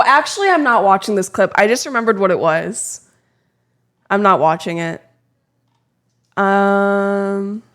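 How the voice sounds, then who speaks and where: A woman's voice: medium pitch, fairly bright tone. Chatty young woman streamer. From lives in Texas. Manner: chatty, self-deprecating, fidgeting with her mic. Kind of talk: casual live-stream chat.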